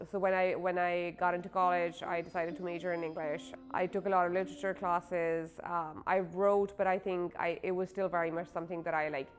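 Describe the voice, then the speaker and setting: A woman author from Pakistan, talking casually in an interview about her writing with many fillers. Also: lowish voice. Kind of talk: interview, personal story.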